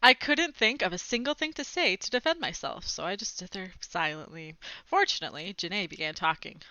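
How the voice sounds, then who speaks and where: A woman reading a children's book aloud in an expressive, dramatizing way, with chatty asides. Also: fairly low pitch, brisk, dry recording, highs cut off. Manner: animated, dramatizing dialogue, commenting to young listeners. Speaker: read-aloud storyteller.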